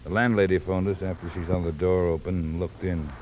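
Through a muffled, faintly hissy old radio recording comes a man acting as a very deep-voiced American homicide sergeant. He is gruff and weary, talking in a curt, flat, matter-of-fact way, in the style of 1950s detective radio. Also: a little fast, close to an everyday voice.

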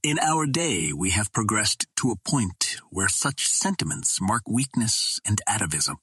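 A man's voice: lowish voice, big pitch swings, unhurried, bright, forceful tone, slightly rough, dry recording, exaggerated voice. A man voicing a stern, snappish older female Martian in a formal, haughty storybook manner. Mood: scornful scolding.

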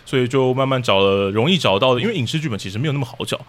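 A man's voice: medium pitch, expressive, racing. A man with a Taiwanese Mandarin accent, a podcast guest explaining things in casual interview talk. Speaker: podcast guest, audio drama troupe leader.

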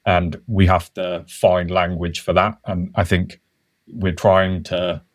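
A man with a very low voice talks as a podcast guest. He thinks aloud at an unhurried, reflective pace and sometimes starts a sentence over. The sound is dry and close. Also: level tone, no room sound. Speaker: podcast guest, conservation worker. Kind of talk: casual conversational interview.

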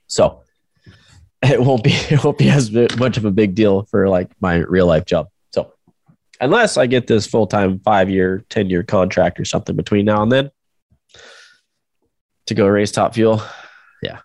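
Male co-host, very low voice, congested from a cold, jokey and rambling; dry close-mic sound. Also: moderate pace, long pauses, a little mellow, dry recording. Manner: joking, rambling, self-deprecating. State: stuffed up with a cold. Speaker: podcast co-host. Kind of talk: casual podcast chat.

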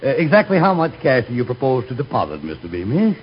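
An actor playing a pompous, genial bank president in a 1940s radio comedy. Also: male voice, medium pitch, wildly swooping pitch, quick pace. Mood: jovial. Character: pompous, genial male bank president, self-important and easily amused. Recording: muffled, noisy 1940s radio recording.

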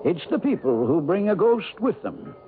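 An actor playing a folksy, shrewd small-town real estate agent in a 1970s radio drama. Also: male voice, fairly high pitch, wildly swooping pitch, normal speed, a little hiss or hum. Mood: folksy, salesmanlike. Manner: folksy, drawling rustic grammar. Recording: narrow-band vintage radio broadcast.

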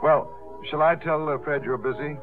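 An actor playing a suave, devoted theatre gentleman in a 1950s radio drama, heard on a tinny broadcast recording. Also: on the high side, fairly even intonation, moderate pace, music in the background, close to an everyday voice. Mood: casual, polite.

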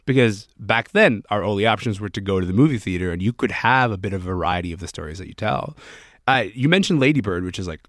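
A man's voice: lowish voice, no echo, clean studio sound. A man who grew up in Sudan, co-hosting a casual discussion and talking thoughtfully with many ums and restarts.

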